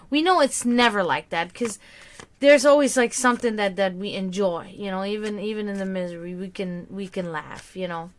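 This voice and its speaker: A woman's voice: mid-range voice, fairly bright tone. A woman in casual livestream chat, giving tarot readings, rambling and laughing often.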